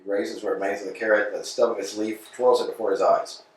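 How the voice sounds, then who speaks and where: A man reading a play's stage directions aloud in a measured, plain way. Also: fairly low pitch, level tone. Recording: echoey room, from a distance, faint noise.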